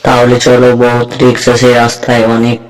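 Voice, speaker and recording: A brave young fighter, acted by a man in a homemade cartoon. He is stubborn and protective, and speaks plainly with very little movement in pitch. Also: monotone, fairly quick, lightly acted, poor audio. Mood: guarded, helpful. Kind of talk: amateur cartoon voice-over dialogue.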